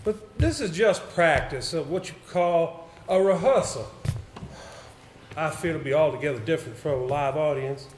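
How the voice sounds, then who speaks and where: A troubled, formal gentleman, played by a man, high in pitch and uneasy. It is live stage acting in an echoey hall with a little noise. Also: moderate pace, close to an everyday voice. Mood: anxious, sombre. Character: haunted, anxious, formal gentleman, reluctant amateur performer. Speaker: amateur stage actor. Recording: echoey hall, stage play recording with hiss.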